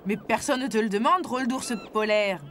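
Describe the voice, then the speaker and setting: Woman voicing a high, excitable cartoon boy. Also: moderate pace, a little hiss or hum, natural voice. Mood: cheeky, eager. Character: eager, adventurous young boy diver. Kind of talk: cartoon dub in French. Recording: music behind the voice.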